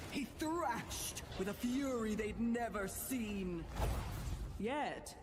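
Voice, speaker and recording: A woman voicing a mysterious, wry storyteller in a fantasy game, narrating a fable in a deliberate, hushed way. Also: on the low side.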